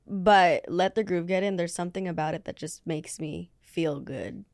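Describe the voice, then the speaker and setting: Hesitant low-voiced young woman. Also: moderate pace, a little mellow, dry recording, clean studio sound. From Philippines. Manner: hesitant, searching for words with many fillers. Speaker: guest singer. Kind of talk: casual interview answers.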